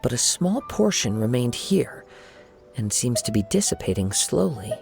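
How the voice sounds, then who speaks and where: A man voicing a calm, thoughtful young wandering samurai in a video game, speaking in measured, formal phrases. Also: mid-range voice, gravelly, over music. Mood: calm, pensive.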